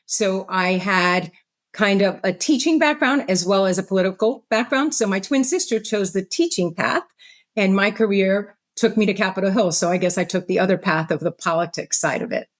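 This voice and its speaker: A woman's voice: medium pitch, normal speed. A middle-aged American woman from New York, chatting casually as an interview guest in short phrases with playful asides. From United States, New York. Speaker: podcast guest, healthcare technology executive. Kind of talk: casual autobiographical interview answer.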